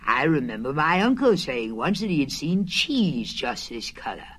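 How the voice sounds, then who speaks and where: A woman voicing a slow, honey-loving male teddy bear, talking low and dreamy and sometimes sinking to a whisper. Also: very animated, husky, full character voice. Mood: musing.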